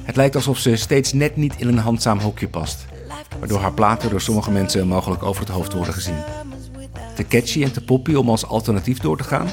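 Low-voiced Dutch man hosting a podcast. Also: animated, fairly quick, over music. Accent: Netherlands Dutch. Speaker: podcast host and interviewer. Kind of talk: scripted podcast narration and interview.

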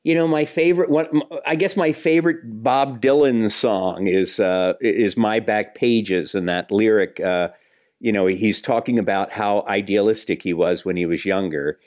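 Older male panelist, lowish pitch, reflective, feeling his way through casual discussion. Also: normal speed, darkish tone. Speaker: podcast panelist.

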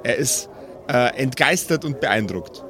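A man's voice: mid-range voice, lively intonation, unhurried, a bit bright. A man acting as game master, improvising the narration of a tabletop role-playing game.

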